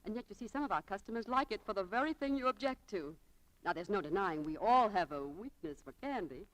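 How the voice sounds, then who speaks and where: A woman's voice: medium pitch, animated, fast, close to an everyday voice, poor audio. A woman playing a chatty, spirited young saleswoman in a 1940s radio drama. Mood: eager, persuasive. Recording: old 1940s radio broadcast.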